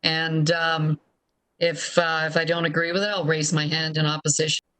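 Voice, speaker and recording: A man's voice: on the high side, flat delivery, moderate pace, bright, forceful tone, low-quality recording. A man speaking as a city councillor in debate, firm and blunt. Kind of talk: council meeting debate remarks.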